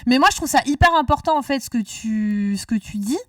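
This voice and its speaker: A woman's voice: high voice, lively intonation, a little fast, fairly bright tone, no echo, studio quality, distant mic. A woman hosting a casual discussion, talkative and rambling, often restarting her sentences. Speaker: podcast host.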